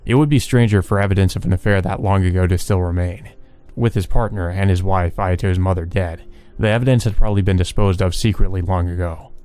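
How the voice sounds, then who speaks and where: A man's voice: low voice, fairly even intonation, a little fast, hardly any pauses, warm tone, clean tone. A man reading a visual novel aloud and commenting casually as he plays. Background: game music.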